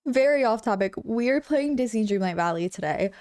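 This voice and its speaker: A woman's voice: a little high, expressive, moderate pace, a little mellow. A young woman streaming from home, chatting with her viewers. She sounds a bit congested and fills her talk with "like" and "um". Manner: bubbly, chatty, lots of "like" and "um". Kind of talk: casual chat with live chat.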